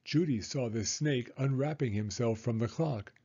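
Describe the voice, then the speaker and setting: A man reading a children's storybook as an expressive, dramatic narrator. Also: mid-range voice, moderate pace, breathy edge, highs cut off.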